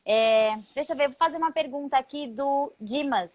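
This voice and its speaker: A woman's voice: high-pitched, moderate pace, darkish tone, no echo. A Brazilian woman hosting a live interview stream, chatty and laughing, greeting viewers by name. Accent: Brazilian Portuguese. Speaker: live show host.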